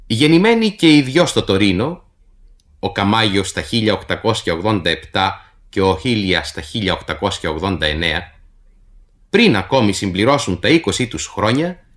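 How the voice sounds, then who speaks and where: A man reading a scripted introduction to a radio play in a formal, measured literary manner. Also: mid-range voice, a bit bright. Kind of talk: scripted narration for radio theatre.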